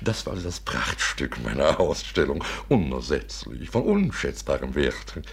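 An old gentleman collector in a 1950s radio play, with a very husky, low voice. He is fussy and effusively courteous, stammering and repeating himself as his pitch swings in lively fashion. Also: normal speed, exaggerated voice. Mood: earnest, plaintive. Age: older.

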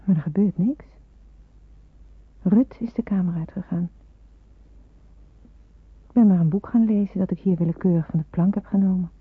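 Anxious young woman hiding in wartime, musing, really husky voice, old radio play, tinny narrow sound. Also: fairly low pitch, moderate pace, long pauses, no echo. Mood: hushed, tense, musing. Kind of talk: Dutch radio play acting with hushed inner monologue. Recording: muffled, narrow-band old radio recording.

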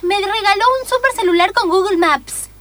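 Gretel, a piercingly high little girl. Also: normal speed, fairly bright tone. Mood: reassuring, smug. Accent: Argentine. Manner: childish, whiny. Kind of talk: improvised radio comedy theatre.

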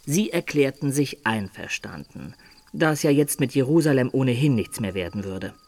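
Sardonic medieval adventurer, animated swooping intonation, ironic storyteller, radio play narration. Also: male voice, mid-range voice, normal speed, dry recording. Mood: wry narrating. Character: wry medieval adventurer recounting his quest, sardonic. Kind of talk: German radio drama narration.

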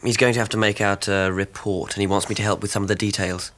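A man's voice: on the low side, level tone, fast, fairly bright tone, close to an everyday voice. A man playing an earnest, solicitous young English husband in a radio drama, plain-spoken in manner. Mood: concerned. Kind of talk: British radio drama acting.

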